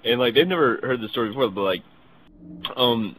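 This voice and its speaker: A young man tells a comic anecdote in a casual, slangy, profane way, rattling it off quickly. His voice is bright and forceful, and he acts out the dialogue as he goes. There is some faint noise behind him. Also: medium pitch, poor audio. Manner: profane, slangy, animated retelling with quoted dialogue. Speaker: storyteller. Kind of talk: casual comedic anecdote among friends.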